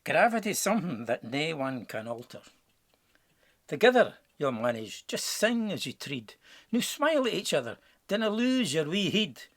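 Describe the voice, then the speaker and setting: A man's voice: fairly high pitch, big pitch swings, moderate pace, fairly bright tone, no room sound, exaggerated voice. A man voicing a jolly, wise haggis musician in a storybook, with a Scottish accent and a coaxing, theatrical manner. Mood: encouraging.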